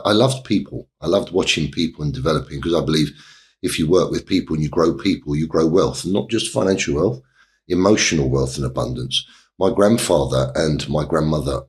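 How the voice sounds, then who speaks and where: Deep-voiced man telling his life story. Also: a bit bright. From England. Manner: halting, many fillers and restarts. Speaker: interview guest, property developer. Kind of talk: reflective storytelling in an interview.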